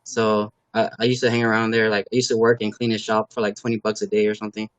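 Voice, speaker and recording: A man from Los Angeles, a native Khmer speaker, talking casually as an interview guest in a halting, filler-heavy way. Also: on the low side, flat delivery, no room sound. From Los Angeles, USA. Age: adult parent. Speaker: guest, car builder and YouTuber.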